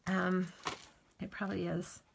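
A middle-aged woman with a hoarse morning voice, chatting casually while demonstrating a craft project. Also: on the low side, completely flat delivery, a little slow, slight background noise. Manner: chatty, rambling asides while working. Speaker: crafting tutorial host.

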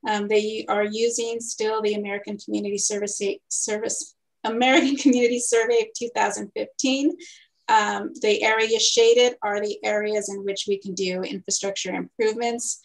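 A woman in her thirties gives a council staff report over a video call. She is clear and methodical and reads out figures steadily. She speaks at an ordinary middle pitch. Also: steady tone, normal speed, poor audio. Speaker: city housing and economic development manager.

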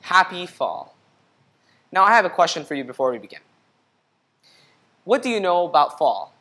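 A man's voice: high voice, very animated, moderate pace, pushed and bright. A young man hosting a children's read-aloud, speaking directly to young listeners and putting questions to them. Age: young adult. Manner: clearly paced, addressing young listeners directly. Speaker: picture book reader for children. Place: indoor studio set. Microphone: lapel mic.

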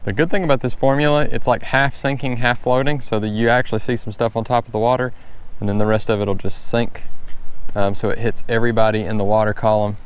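A man's voice: mid-range voice, fast talker, clean tone. A young man, chatting casually as a fishing vlogger outdoors by a pond, recorded on a body-mounted action camera. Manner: chatty and relaxed, talks to the turtle. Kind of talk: casual outdoor vlog chat.

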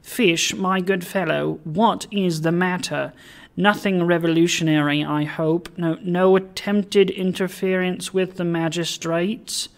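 A reader acting a slow, pompous, pious alderman. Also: medium pitch, fairly even intonation, lightly acted. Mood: alarmed, blustering. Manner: exclamatory, self-important, repetitive. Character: pompous, pious city alderman, gentleman, effusively sanctimonious. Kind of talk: dramatised audiobook of a classic story.

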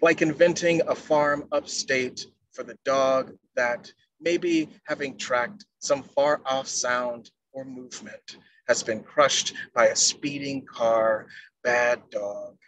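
Slow-paced man reading poetry, noisy line. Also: medium pitch, fairly bright tone. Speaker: poet reading at an online reading. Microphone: wired earphone mic on a video call. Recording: noisy video-call audio.

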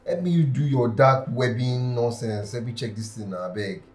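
An actor with a Nigerian accent playing a loyal, composed assistant and friend in a screen drama. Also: male voice, mid-range voice, normal speed, darkish tone, lightly acted. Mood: worried, urgent.

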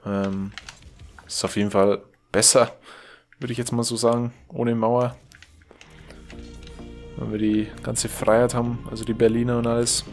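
A young man from Germany, a gaming YouTuber chatting casually over his gameplay, rambling and correcting himself, reacting loudly to the game. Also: medium pitch, little pitch movement, a little slow, long pauses, faint music behind.

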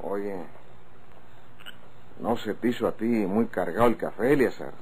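A man's voice: fairly low pitch, a little slow, a little mellow, natural voice. A man acting a chatty, wary rancher with a Mexican accent in a golden-age radio drama. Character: Don Otilio, chatty wary male rancher. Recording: faintly noisy old radio recording.

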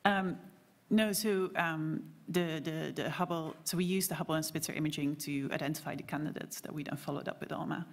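A woman astronomer on a panel, answering press questions haltingly, with many fillers and restarts. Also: low-pitched, steady tone.

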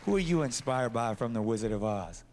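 A man's voice: mid-range voice, animated, fairly quick, heavy background noise. A man hosting a TV competition, announcing in an upbeat showman's way. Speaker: TV show host. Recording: broadcast clip with studio crowd. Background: cheering crowd and music.

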